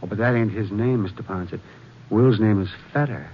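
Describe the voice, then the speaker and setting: A man's voice: on the low side, a little fast, natural voice. Folksy, gossipy American hotel clerk played by a man. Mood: amused. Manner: folksy, rambling, rustic speech. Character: chatty, gossipy small-town western hotel clerk. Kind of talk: 1950s radio western acting. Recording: dull, faintly noisy vintage radio recording.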